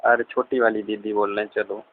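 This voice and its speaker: A man's voice: fairly low pitch, little pitch movement, fairly quick. Young man on a tinny phone line. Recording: tinny, band-limited phone call recording.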